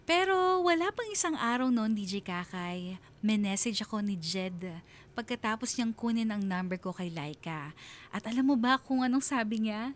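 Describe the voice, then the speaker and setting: A woman playing a reflective single mother, telling her love story in earnest, sentimental, deliberate phrases like a confessional radio drama. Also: fairly high pitch, lively intonation, close to an everyday voice. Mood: guarded, surprised. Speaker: narrator of a radio drama letter.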